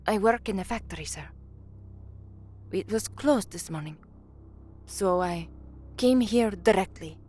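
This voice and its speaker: A woman's voice: medium pitch, animated, a little slow, long pauses, darkish tone, breathy edge, faint music behind. Actress as an earnest young working-class slum woman. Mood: guarded. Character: anxious young working-class woman, trainee radio newsreader in a hive slum. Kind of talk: audio drama acting with first-person narration.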